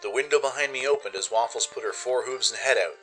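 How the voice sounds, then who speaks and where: A man's voice: medium pitch, quick pace, fairly bright tone, faint music behind, low-quality recording. A man giving dramatic first-person scripted narration as the narrator of a radio play.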